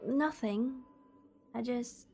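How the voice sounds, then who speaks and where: A high-pitched young woman's voice in a sci-fi audio drama, performed by an actress. She is a stubborn, disillusioned companion to a time traveller. She answers back bluntly and holds her ground. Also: drawn-out words, dark tone, husky, soft background music, exaggerated voice. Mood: uneasy, guarded.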